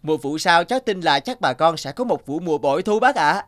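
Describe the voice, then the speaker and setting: An actor playing an eager, excitable young male agriculture intern in a cartoon, earnest and respectful. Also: on the high side, a little fast. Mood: admiring, excited. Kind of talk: animated drama dubbing. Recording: music under the dialogue.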